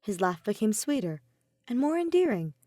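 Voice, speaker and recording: Animated woman narrator, dry sound. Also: medium pitch, moderate pace, no room sound. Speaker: podfic narrator. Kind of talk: scripted fan fiction narration.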